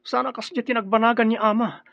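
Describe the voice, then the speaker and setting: A man's voice: very high voice, steady tone, quick pace, gravelly, highs cut off. An actor playing an earnest, respectful, peace-loving young man fresh from graduation in an Ilocano radio drama. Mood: earnest, pleading.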